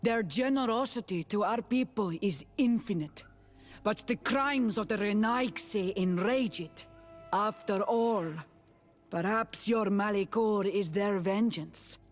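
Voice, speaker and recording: An actress playing a solemn native island woman in a video game, with a deliberate, grave delivery. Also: medium pitch, soft background music, close to an everyday voice. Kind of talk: video game cutscene acting.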